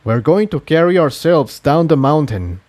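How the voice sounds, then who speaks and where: An earnest male unicorn from a fantasy storybook, acted out by a man. He speaks simply and a little high, brave yet shaken. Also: very animated, normal speed, darkish tone, dry recording. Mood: resolute.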